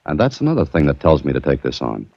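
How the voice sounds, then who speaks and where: Deep-voiced 1940s radio adventurer. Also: male voice, sing-song, quick pace, gravelly, slightly rough, no room sound, heavily acted. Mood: amused, curious. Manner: wry, bantering, polished storytelling. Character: breezy, curious adventurer hero narrating his own case. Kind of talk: 1940s radio drama acting with first-person narration. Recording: dull, noisy vintage radio recording.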